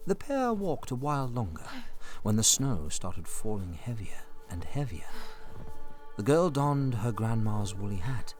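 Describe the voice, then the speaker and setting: A man narrating a scripted children's story as an expressive storyteller. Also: on the low side, unhurried, faint music behind.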